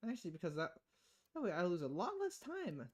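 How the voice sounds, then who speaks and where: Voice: mid-range voice, wildly swooping pitch, brisk. A streamer voicing a confident, sardonic young female hacker in a visual novel game. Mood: breezy, confident. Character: confident, flippant young woman freelance hacker.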